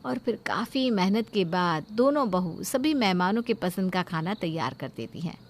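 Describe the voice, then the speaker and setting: Female storyteller, medium pitch, scripted cartoon narration. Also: animated, normal speed.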